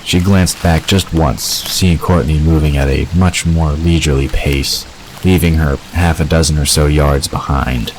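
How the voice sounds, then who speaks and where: Male narrator for fantasy audio drama, extremely low voice, scripted prose reading, faint watery ambience behind. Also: fairly even intonation, unhurried, a little hiss or hum, low-quality recording.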